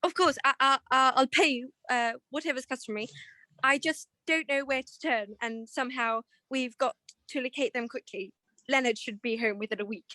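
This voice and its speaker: A woman's voice: high-pitched, fairly even intonation, moderate pace, pushed and bright, no room sound. A woman playing an anxious, genteel married client in a 1940s-style detective radio play, pleading with many stammered hesitations. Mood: desperate.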